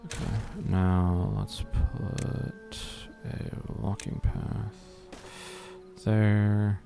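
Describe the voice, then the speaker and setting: Low, gravelly American man muttering. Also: level tone, drawn-out words, music in the background. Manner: muttering to himself, humming and self-joking. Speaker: gaming streamer. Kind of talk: live game commentary.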